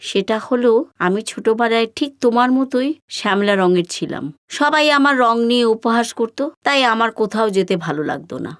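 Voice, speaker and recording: Woman playing cartoon mother, gentle, confiding, fairly high female voice, dry studio sound. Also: lively intonation, brisk, no echo. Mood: tender confiding. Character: gentle late mother confiding in her daughter by letter. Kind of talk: cartoon story monologue.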